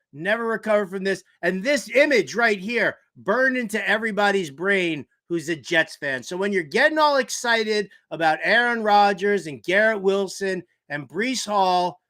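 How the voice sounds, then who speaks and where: A man's voice: sits high, pushed and bright. A man hosting a sports talk show, delivering theatrical, exasperated fan commentary with emphatic repeated phrases.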